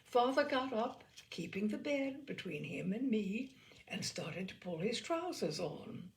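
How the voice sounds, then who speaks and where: A woman reading a literary story aloud as an expressive narrator. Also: a little high, unhurried, creaky. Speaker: storyteller, reader.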